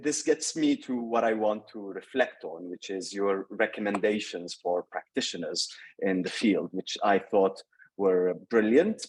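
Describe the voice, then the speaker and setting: A man's voice: fairly low pitch, darkish tone, breathy edge, low-quality recording. A man from Syria giving earnest academic remarks as a panel respondent, thoughtful and hesitant at times. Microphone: video call.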